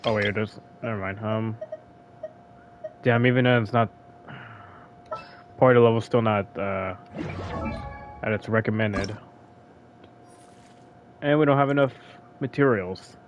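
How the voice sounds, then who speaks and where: A man's voice: fairly low pitch, fairly even intonation, a little slow, pauses often, muffled recording, slight background noise. A man giving casual, offhand commentary as he plays a game, half muttering to himself. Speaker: gamer playing through a story quest.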